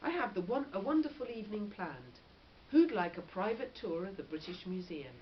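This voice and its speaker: A woman's voice: medium pitch, very animated, moderate pace, natural voice. Woman reading as an earnest protective father, lo-fi. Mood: forced cheer. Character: middle-aged scholarly father, protective, earnest, trying to stay upbeat. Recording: dull lo-fi audio with faint noise.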